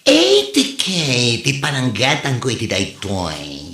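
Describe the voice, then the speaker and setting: A slow, taunting show-off of a neighbor played by an actor in a comedy drama, with an Ilocano accent. The pitch swings theatrically from word to word, drawling with smug scorn in an echoey room. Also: huge pitch swings, creaky, a bit bright, heavily acted. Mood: smug, mocking. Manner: taunting, drawn-out, theatrical delivery with questioning hums. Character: haughty, mocking neighbor showing off an expensive designer bag. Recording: roomy, echoing sound.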